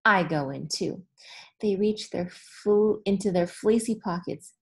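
Female teacher, thirties, storybook read-aloud; very slow, deliberate, expressive phrasing with clear pauses. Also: mid-range voice. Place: small room in front of a whiteboard, indoors.

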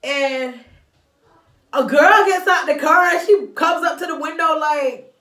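A young woman from Florida telling a storytime to the camera, animated and slangy, talking straight to her viewers. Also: high-pitched, measured pace, far from the mic. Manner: animated, gestures a lot, slangy asides to viewers. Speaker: vlogger. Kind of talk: casual storytime. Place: small bathroom indoors. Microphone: phone on a stand, mid-distance. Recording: echoey bathroom, off-mic, faint music.

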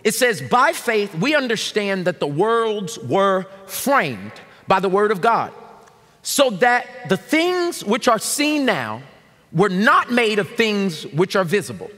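A man in his thirties preaching a sermon from a large church stage, animated and shouting call-and-response lines to the congregation. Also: sits high, slow pace. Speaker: pastor. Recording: long reverb of a large hall.

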